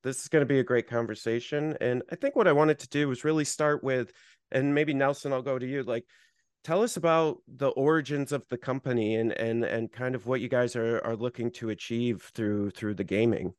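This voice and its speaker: Smooth-voiced man hosting, chatty. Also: mid-range voice, brisk, no echo, clean studio sound. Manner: chatty, filler-heavy. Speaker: podcast host. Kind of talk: casual interview talk.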